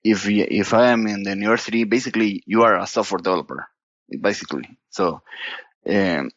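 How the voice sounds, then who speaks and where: A man from Costa Rica with a Costa Rican Spanish accent, talking as a guest in a casual podcast interview, halting and restarting his sentences and leaning on "you know". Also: lowish voice, no echo, lo-fi sound. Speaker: guest data platform expert.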